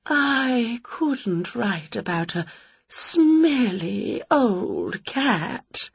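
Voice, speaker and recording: A woman voicing a small, sensitive male ghost poet in a storybook, speaking slowly in a quivering, tearful, dramatic voice. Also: a little high, very animated, vocal fry, breathy edge, dry recording, voice pushed up, full character voice. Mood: wistful. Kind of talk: storybook read-aloud. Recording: slightly dull dry recording.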